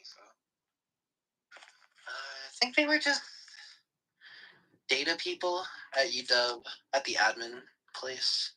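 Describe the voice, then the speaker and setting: A young man chatting casually with his partner, relaxed and teasing, laughing often. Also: mid-range voice, slow pace, long pauses, poor audio. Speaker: livestream co-host.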